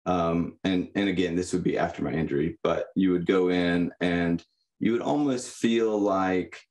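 A young man giving reflective, personal answers as a podcast guest, in a halting, thoughtful manner. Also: low voice, darkish tone. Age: young adult. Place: indoor room, on a video call. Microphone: condenser microphone.

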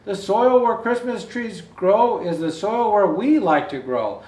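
An older man with a high-pitched voice reads a children's picture book aloud. He phrases things expressively and deliberately, stumbling now and then. The room adds a noticeable echo around his words. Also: darkish tone, recorded from a distance. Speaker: Santa Claus storyteller. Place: indoor room with Christmas decor. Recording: roomy indoor echo.

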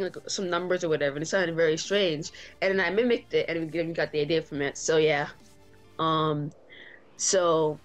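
A woman chatting casually and making a prank phone call, with a giggly manner. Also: lowish voice. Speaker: guest co-host, prank caller.

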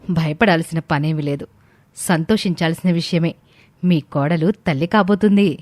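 A woman playing a calm, reassuring doctor in a family story, measured in manner. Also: sits low, dry recording, close to an everyday voice.

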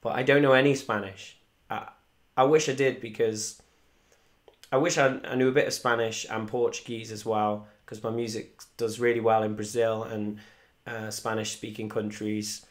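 Chatty young man, flat tone. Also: fairly low pitch, moderate pace. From Wales. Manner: chatty, rambling, reads viewer comments aloud. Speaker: musician hosting a live stream. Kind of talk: casual live stream chat. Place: small room indoors at home. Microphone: desk microphone in front.

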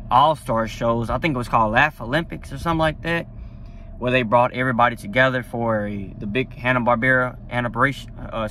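A man's voice: mid-range voice, fairly bright tone, slight background noise. A young man talking casually to a phone camera from a parked car, rambling and enthusiastic with lots of fillers. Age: young adult. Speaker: vlogger. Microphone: phone at arm's length.

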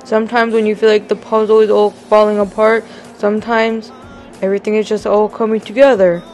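A woman voicing a supportive grown son, gentle and reassuring, in an amateur roleplay drama. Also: mid-range voice, little pitch movement, a little fast, soft background music, close to an everyday voice.

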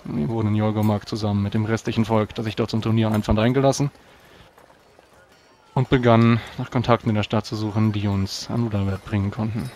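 A man speaking formally and deliberately as a proud, pious knight in a tabletop role-playing game. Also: on the low side, fairly even intonation, a little mellow. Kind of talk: in-character role-play narration. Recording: clear background noise.

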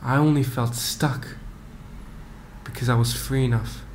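Young man, late teens, student poet; deliberate, solemn reading, little pitch movement, unhurried pace. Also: steady tone. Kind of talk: poetry reading. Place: living room, close webcam. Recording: faint rumbling background noise.

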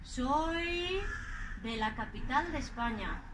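Older madrid lady teaching spanish, slow and clear, bright voice, bit of outdoor hiss. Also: high voice, animated, low-quality recording. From Spain. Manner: playful, deliberate spelling out of words. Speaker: language teacher in a scripted dialogue. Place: outdoors in front of a green wall.

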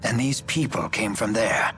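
A man voicing a courtly, wise elderly gentleman in a video game, formal, measured and precise. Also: on the low side, animated. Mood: grave, thoughtful. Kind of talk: English video game voice acting. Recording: game music behind the voice.

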